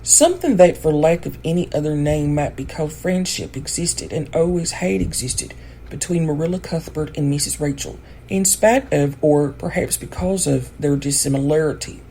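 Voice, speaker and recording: A woman's voice: sits low, moderate pace, mellow, slight background noise. A woman reading a classic storybook aloud as scripted audiobook narration. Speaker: audiobook reader.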